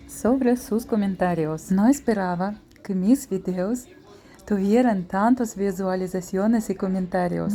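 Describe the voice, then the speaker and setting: Slow careful woman narrating a vlog. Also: mid-range voice, dark tone. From outside Paraguay. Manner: slow, careful, rehearsed delivery of a memorized translated script. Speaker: travel vlogger. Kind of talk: scripted voice-over narration. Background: faint background music.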